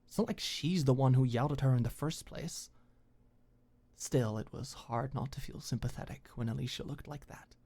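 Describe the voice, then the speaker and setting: A woman's voice: rumbling low, mellow. A woman reading scripted fiction as an audiobook narrator, in an intimate storytelling style.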